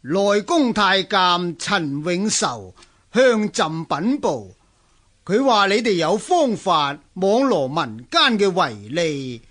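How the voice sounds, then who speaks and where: A man's voice: sits high, big pitch swings, takes it slowly, fairly bright tone, heavily acted. A male actor playing a gleeful, greedy Chinese emperor in a Cantonese radio drama, with a Hong Kong accent and an imperious manner. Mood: pleased, commanding. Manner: chuckling, imperious. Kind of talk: historical radio drama acting.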